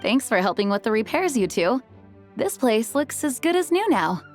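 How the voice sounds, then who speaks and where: A courteous young knight commander from a video game. She sounds earnest and formal, fairly high and expressive, over background music. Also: normal speed. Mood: grateful.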